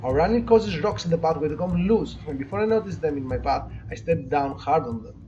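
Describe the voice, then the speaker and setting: A man reads visual novel narration aloud as a let's play co-host, his pitch moving up and down freely. He drops into casual commentary between passages. The audio is slightly muffled, with game music faintly behind him. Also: animated, fairly quick, warm tone. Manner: corrects co-player's words.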